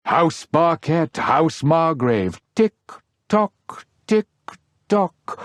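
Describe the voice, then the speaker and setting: A sly gossip of a trader, courteous on the surface and insinuating underneath. He takes his time over florid phrases, his pitch swooping freely, recorded close and dry for a video game. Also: darkish tone, dry recording, full character voice. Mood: conspiratorial. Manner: florid, insinuating, mock-polite. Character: smooth-talking, sly, ingratiating male rogue trader and gossip. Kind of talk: scripted video game character dialogue.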